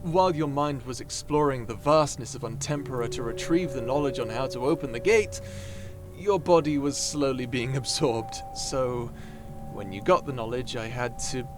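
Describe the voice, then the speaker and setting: A man playing an excitable, cheeky adventurer in an ASMR roleplay drama, animated and theatrical with a stammering, jokey delivery. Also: mid-range voice, normal speed, over music, lightly acted. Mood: sheepish, explaining.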